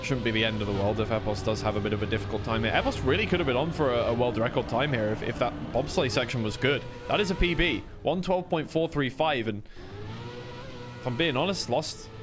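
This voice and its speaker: A man's voice: medium pitch, brisk, over music. A man giving casual, unscripted live play-by-play and analysis as an esports commentator on a stream.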